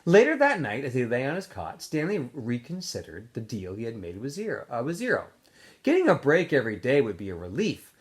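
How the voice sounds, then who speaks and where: A male teacher reads a novel aloud to his students, relaxed and unpolished, with the odd slip and filler. His pitch rises and falls in a lively way as he narrates. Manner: relaxed reading with small slips and fillers.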